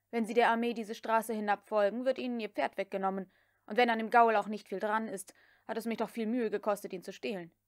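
A woman voicing a cool, mocking gentleman who takes command, as part of an audiobook reading. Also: mid-range voice, dry recording, clean studio sound, natural voice. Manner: sardonic, crisp, commanding. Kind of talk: dramatic audiobook character reading.

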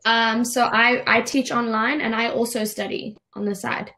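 A woman's voice: fairly high pitch, flat delivery, normal speed, bright, forceful tone. A young woman with a South African accent, an online English tutor asking simple questions in a casual video call. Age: young adult. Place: indoors in a room, on a video call. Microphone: webcam video call.

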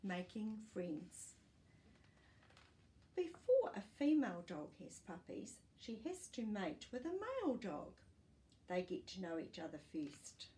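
A woman in her sixties reading a children's picture book aloud in an expressive, teacherly way, as if to young listeners. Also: mid-range voice, slow pace, slight background noise. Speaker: children's storytime reader. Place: small furnished living room, indoors.

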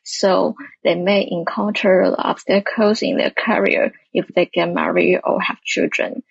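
A woman with a husky voice and some vocal fry speaks on an online panel in a measured way, pausing often. The sound is dry. The call audio is a bit dull. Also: fairly low pitch, steady tone, no room sound. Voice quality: gravelly, husky. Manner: measured, hesitant. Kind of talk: panel discussion on video call.

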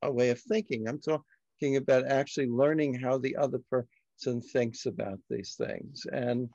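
An older man from Brooklyn, a native Yiddish speaker, hosting a reflective discussion in a halting, deliberate, frank way. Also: medium pitch, steady tone, gravelly, a little mellow, no room sound. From Brooklyn, New York. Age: older, about 70s. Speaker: discussion host. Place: dim home study, indoors. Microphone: computer on video call. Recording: muffled video call audio.

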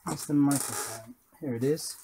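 A man's voice: fairly high pitch, moderate pace, vocal fry, dark tone, slightly rough. A middle-aged man giving casual hands-on electronics commentary, thinking aloud and asking himself questions as he works. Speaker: electronics hobbyist presenter. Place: indoor workbench.

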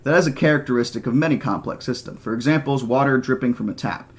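Man reading as a flatly insistent lecturing mathematician. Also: medium pitch, fast, natural voice. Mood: matter-of-fact lecturing. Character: male mathematician, lecturing, certain and condescending. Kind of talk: audiobook character reading.

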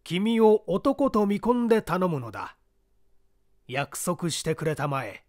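Male reader as dying gentleman, solemn and urgent, high-pitched, sweeping emphasis, audiobook drama. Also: big pitch swings, slow, no room sound, studio quality, heavily acted. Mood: grave, insistent. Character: grave dying man entrusting a secret.

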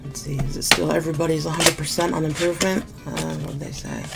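Low gravelly-voiced sick woman vlogging. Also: fairly even intonation, quick pace, loud music behind the voice. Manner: chatty, candid, mildly sarcastic. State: sick, losing her voice, deep cough. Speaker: beauty vlogger. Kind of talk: casual product unboxing chat. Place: small room at home, indoors.

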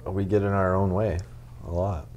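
A man's voice: low-pitched, level tone, moderate pace, a little mellow, slight background noise. A man in his thirties, a pastor, chatting casually on a couch podcast with short, easygoing, self-deprecating replies. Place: living room, on a couch, indoors.

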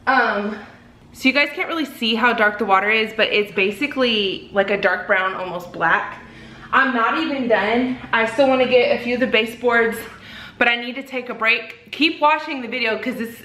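A woman's voice: fairly high pitch, normal speed, sharp tone. Breathless woman in an echoey garage. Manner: chatty, frank, talks with hands. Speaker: vlogger. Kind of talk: casual talk to camera. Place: home garage gym, indoors. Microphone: camera on tripod, close.

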